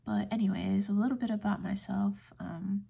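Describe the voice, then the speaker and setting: Soft, whispery American woman, gravelly fry. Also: mid-range voice, steady tone, normal speed. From United States. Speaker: ASMR video creator. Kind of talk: soft-spoken ASMR rambling.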